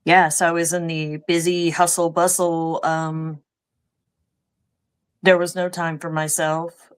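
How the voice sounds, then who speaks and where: A woman guest on a podcast answers slowly in a low voice. She is halting and often pauses with fillers while she searches for words. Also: clean tone. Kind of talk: conversational interview answers.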